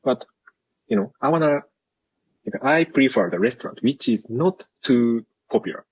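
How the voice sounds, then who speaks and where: Soft-voiced Japanese man with an accent. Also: mid-range voice, animated, normal speed, slightly rough, muffled recording. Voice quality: soft, small voice. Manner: hesitant, many "you know" and "hmm". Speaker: podcast guest. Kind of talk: casual chat over drinks.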